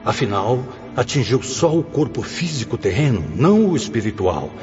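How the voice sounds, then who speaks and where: An actor playing a grave spirit instructor in a radio drama, speaking in a measured, oratorical way. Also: male voice, mid-range voice, big pitch swings, darkish tone, close to an everyday voice. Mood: stern, instructive. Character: Sóstenes, solemn male spirit instructor addressing penitent souls. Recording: dull reverberant recording with music.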